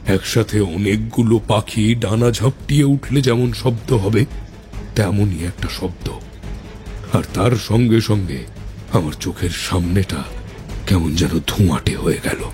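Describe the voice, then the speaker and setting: A man playing a tantric mystic who recounts eerie encounters in an audio horror drama, measured and suspenseful. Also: on the low side, a little mellow, soft background music, natural voice. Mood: eerie, shaken. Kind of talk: first-person horror storytelling in audio drama.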